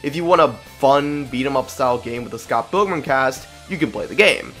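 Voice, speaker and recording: A man's voice: medium pitch, lively intonation, normal speed, a bit bright, soft background music. A young man narrating a scripted video essay in an opinionated, informal, slangy way.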